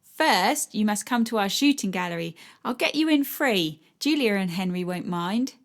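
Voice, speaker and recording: A woman's voice: medium pitch, expressive, normal speed, natural voice. Woman doing a chatty, brash fairground girl. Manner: chatty, brash, dialect grammar. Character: cheerful cheeky young fairground woman. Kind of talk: audiobook character voice.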